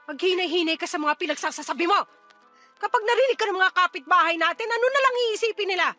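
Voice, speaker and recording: Actress doing a harsh scolding mom in a radio drama, really high sharp voice, music behind. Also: female voice, fast. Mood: accusing, angry. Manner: scornful, dismissive, scolding. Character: harsh, defensive mother scolding her daughter. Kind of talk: Tagalog radio drama acting.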